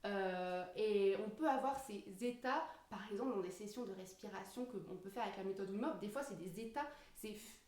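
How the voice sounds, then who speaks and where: A woman's voice: mid-range voice, fairly quick, few pauses, a little mellow. A woman giving an informal explanatory yoga lesson, searching for her words as she goes. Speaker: yoga teacher. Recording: roomy echo, distant microphone.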